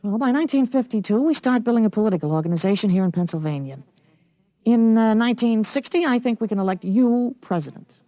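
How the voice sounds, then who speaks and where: Casting: precocious thirteen-year-old with an old soldier's certainty, matter-of-fact, insistent, 1950s radio drama, close dry sound. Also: male voice, high voice, animated, fast, warm tone, dry recording, low-quality recording. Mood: confident, persuasive.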